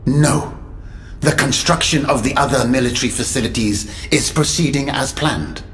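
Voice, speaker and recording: A man playing a solemn, calculating strategist in a video game cutscene, with measured, deliberate delivery. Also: mid-range voice, a bit bright, lightly acted. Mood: assured. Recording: echoey game audio with noise.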